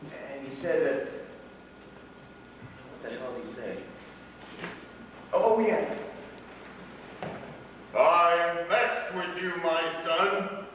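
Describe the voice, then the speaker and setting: An actor on stage playing a wry, rambling drug-culture housemate who tells tall stories haltingly, with dramatic swells. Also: male voice, on the high side, fairly bright tone. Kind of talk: live stage play acting. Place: stage of a small theatre. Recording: echoey theatre hall, distant mic, noisy.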